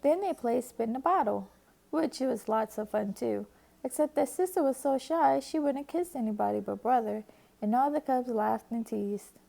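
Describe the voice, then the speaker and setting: A woman reading a children's picture book aloud with expressive, lively narration. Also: a little high, moderate pace, creaky, rough recording. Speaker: storybook reader.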